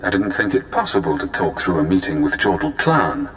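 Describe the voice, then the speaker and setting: A deep, composed intelligence handler from a video game. He is analytical, polite and measured, heard with heavy echo over a faint rumbling noise. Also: sing-song, fairly bright tone. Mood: polite, pleased.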